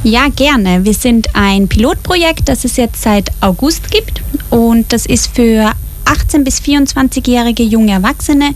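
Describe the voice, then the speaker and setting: Young austrian woman, radio guest, explaining clearly, somewhat high voice, faint noise. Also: a little slow. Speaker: project coach. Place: radio studio.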